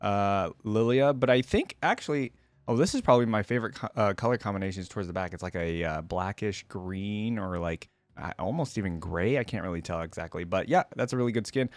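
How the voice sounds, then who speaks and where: A man's voice: on the low side, fairly quick, no echo. A man giving casual, informal reaction commentary as a video host, musing aloud as he goes.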